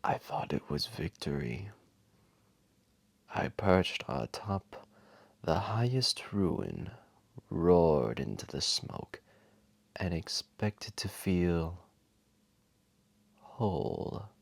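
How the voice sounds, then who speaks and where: Ancient, very deep, gravelly dragon. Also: male voice, big pitch swings, no room sound, exaggerated voice. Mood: proud, remembering. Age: old. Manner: grandiose, unhurried, dramatic pauses. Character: ancient male dragon, weary, wise, gently imposing. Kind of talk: slow intimate storytelling audio roleplay.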